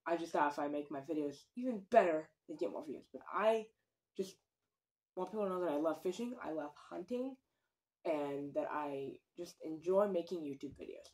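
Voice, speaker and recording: A man's voice: fairly high pitch, normal speed, a little mellow, low-quality recording. A young man chatting casually to a phone camera in a small indoor room, earnest and rambling, with lots of ums and likes. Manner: earnest, rambling, many fillers. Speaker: fishing vlogger. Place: small wooden room with many windows, indoors. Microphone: phone camera at desk distance.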